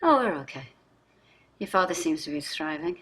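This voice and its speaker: Husky, jaded wealthy wife. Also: female voice, on the low side, very animated, moderate pace, fairly bright tone, heavily acted. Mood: resigned. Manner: theatrical, effusive, drawling endearments. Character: wealthy, jaded, privileged wife on a dystopian luxury ship. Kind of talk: dramatic staged play reading.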